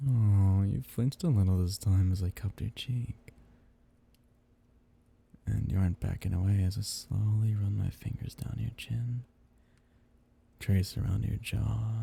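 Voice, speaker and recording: Man voicing a dark, gravelly, seductive vampire captor. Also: lowish voice, slight background noise, full character voice. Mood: seductive, hungry. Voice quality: dark, breathy, gravelly murmur. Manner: teasing, slow-savoring, condescendingly tender. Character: seductive, possessive male vampire captor, smugly amused. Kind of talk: intimate ASMR roleplay audio drama.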